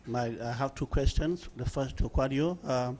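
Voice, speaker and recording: A man with a Cameroonian accent, a journalist putting long, halting questions at a press conference. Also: mid-range voice, a little mellow.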